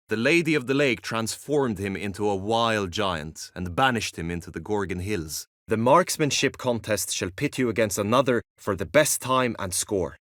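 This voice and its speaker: An actor playing an earnest, lovesick, chivalrous knight in a video game, with formal, archaic courtly phrasing and an anxious streak. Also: male voice, lowish voice, fairly bright tone, dry recording, clean studio sound. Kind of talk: video game character acting.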